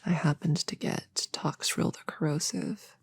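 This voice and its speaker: A woman playing a young, earnest novice witch in a soft, partly whispered ASMR roleplay, chatting hesitantly and intimately as if to a friend. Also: low voice, steady tone, moderate pace, heavily acted. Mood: playful, relaxed. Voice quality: breathy, soft.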